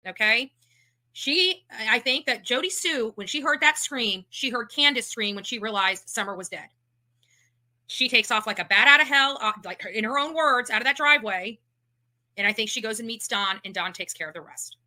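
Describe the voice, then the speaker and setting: A woman's voice: fairly high pitch, expressive, moderate pace, pushed and bright, low-quality recording. A woman giving emphatic, accusatory commentary on a livestream, repeating her points. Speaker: online case commentator.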